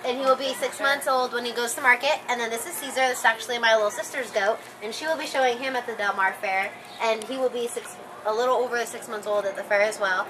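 A young woman speaks in a fairly high, casual voice. She presents like a student exhibitor, a little hesitantly, outdoors with clear ambient noise. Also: steady tone, fast, few pauses. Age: teens to young adult. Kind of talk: informal show-and-tell. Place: outdoors beside parked trailers. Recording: noisy outdoor camcorder sound.

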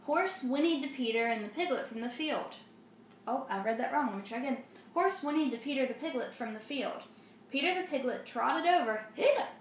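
High-voiced young woman talking to children. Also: animated, brisk. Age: young adult. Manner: child-directed, asks the listener questions. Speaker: storyteller and craft presenter. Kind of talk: children's read-aloud and simple instruction. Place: indoors, home dining room. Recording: roomy, noisy home phone recording.

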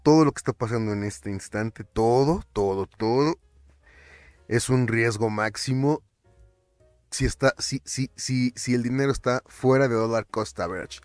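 A young Mexican man with a Mexican accent, talking casually on his finance vlog, animated and often stumbling over and repeating words. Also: mid-range voice, a little slow, no room sound, faint music behind. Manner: animated, chatty, stumbles and repeats words, slips in English terms. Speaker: finance vlogger. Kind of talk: casual commentary over screen captures.

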